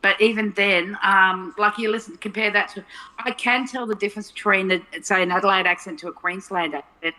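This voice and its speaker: A woman's voice: mid-range voice, fairly even intonation, brisk, bright, forceful tone, rough recording. A woman from Melbourne with an Australian accent, chatting casually and thinking aloud as she explains things. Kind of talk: casual chat.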